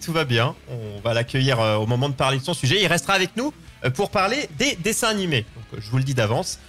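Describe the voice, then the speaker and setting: A man's voice: medium pitch, very animated, fast talker, a bit bright, faint music behind. A man hosting a live show in a chatty, informal, joking way. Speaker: show host. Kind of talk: casual live show presenting.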